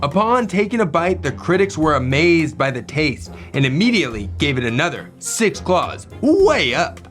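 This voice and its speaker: A man's voice: on the high side, big pitch swings, moderate pace, a bit bright, faint music behind. A man co-hosting a children's read-aloud, narrating in a playful, theatrical way.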